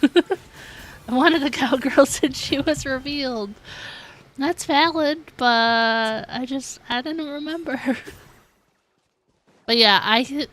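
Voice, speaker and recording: A woman's voice: high voice, takes it slowly, pauses often, fairly bright tone. A woman livestreaming a game, chatting casually and informally in a giggly, self-deprecating way. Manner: informal, peppers speech with "like". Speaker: game streamer, host. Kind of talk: casual livestream chat while playing a game.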